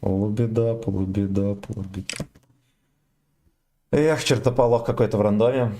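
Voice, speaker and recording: A man's voice: on the low side, steady tone, a little slow, dark tone, a little hiss or hum. A young man streaming a tank game, joking with chat and swearing freely. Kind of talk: casual game stream chatter.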